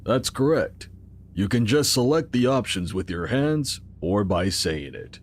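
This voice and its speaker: An actor playing the calmly authoritative founder of a sci-fi organization in an audio drama, speaking instructively. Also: male voice, fairly low pitch, unhurried, slight background noise. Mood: instructive, assured.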